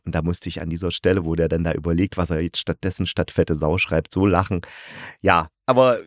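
Low-voiced man, chatty podcast host. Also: brisk, no room sound, studio quality. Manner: enthusiastic, rambling asides. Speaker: podcast host reviewing audio dramas. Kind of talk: casual solo review podcast, chatty.

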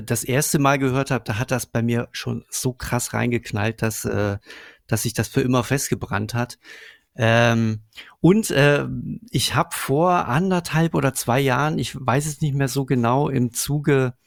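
Gravelly man, casual and dry. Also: medium pitch, unhurried, dry recording. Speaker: podcast co-host discussing radio plays and books. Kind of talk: casual personal discussion.

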